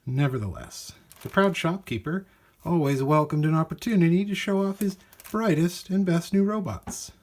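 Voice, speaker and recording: A man reading a children's storybook aloud in an animated, expressive way with dramatic emphasis. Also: on the high side, normal speed. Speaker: storybook reader.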